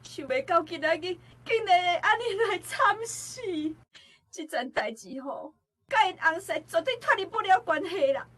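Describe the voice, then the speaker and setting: A woman's voice: very high-pitched, big pitch swings, moderate pace, a bit bright, heavily acted. An actress playing a grieving mother who laments and pleads for justice in a radio drama. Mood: grieving, wailing. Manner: wailing, lamenting. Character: grieving old mother demanding justice for her dead daughter. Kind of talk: Taiwanese radio drama acting.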